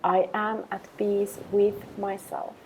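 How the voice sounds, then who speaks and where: A woman leading a guided meditation with affirmations, speaking in a calm, gentle, measured way with long pauses. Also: mid-range voice, a little hiss or hum, low-quality recording.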